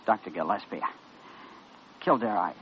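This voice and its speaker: An actor with an American accent playing an anxious, hesitant young intern in a 1940s radio drama. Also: male voice, low voice, expressive, moderate pace. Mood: uneasy. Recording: dull, noisy old radio recording.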